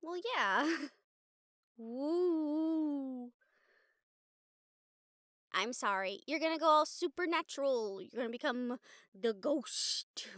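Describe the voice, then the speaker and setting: A young woman playing a bubbly, encouraging college student in a casual, chatty, expressive roleplay audio drama. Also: high voice, slow, long pauses, no room sound, studio quality, exaggerated voice. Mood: encouraging, playful. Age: young adult. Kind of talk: roleplay audio drama acting, speaking to listener.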